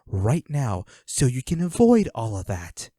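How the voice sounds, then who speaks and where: A man's voice: medium pitch, huge pitch swings, a little slow, warm tone, no room sound, clean studio sound. A man playing a flirty, smug villain henchman in a roleplay audio drama, taunting a captive in a teasing, coolly controlling manner. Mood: smug, bargaining.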